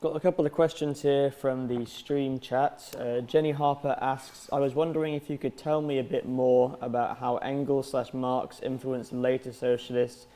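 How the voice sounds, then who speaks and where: Flat, mellow-voiced male moderator. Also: medium pitch, moderate pace, hardly any pauses. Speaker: moderator reading audience questions. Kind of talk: reading out questions.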